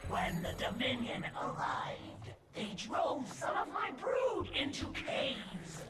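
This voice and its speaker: A woman voicing a devoted, eerie alien insectoid brood mother in a video game, breathy and solemn with an echoing monster effect. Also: high voice, huge pitch swings, slow, very noisy, heavily acted. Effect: echoing monster voice processing. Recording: echoey, distant, under loud game music.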